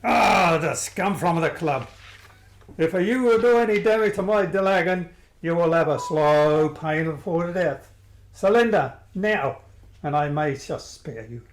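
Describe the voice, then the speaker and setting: A villainous male crime boss from an amateur radio play spits out his threats, voiced by a man. His voice sits high and he drags every word out slowly. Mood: menacing. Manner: threatening, then shocked and raging.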